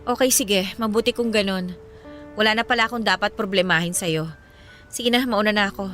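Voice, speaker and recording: A steady, caring young woman in a radio drama, acted by a woman. Her mid-pitched voice sounds earnest and plain, with music playing underneath. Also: fairly quick, natural voice. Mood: calm, concerned. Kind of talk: Filipino radio drama acting. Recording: music bed under the dialogue.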